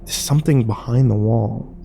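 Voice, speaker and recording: A man's voice: medium pitch, normal speed, vocal fry, warm tone, slight background noise, lightly acted. A man playing a troubled, wary survivor who narrates his ordeal in a brooding first-person horror drama.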